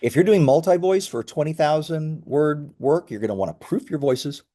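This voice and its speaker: A man's voice: on the high side, moderate pace, mellow. A man speaking as a company founder in an interview, explaining conversationally with emphatic, self-correcting phrasing.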